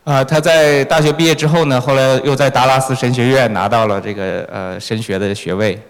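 The man standing beside a preacher in a big echoing church, relaying each phrase to the congregation in a mid-pitched voice. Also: fairly even intonation, brisk. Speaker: church sermon interpreter. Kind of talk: consecutive interpreting of a sermon. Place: large reverberant church hall. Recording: echoey hall with long reverb.

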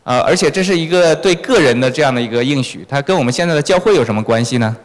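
A man's voice: medium pitch, expressive, very fast. Male sermon interpreter, long hall reverb. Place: large reverberant church hall.

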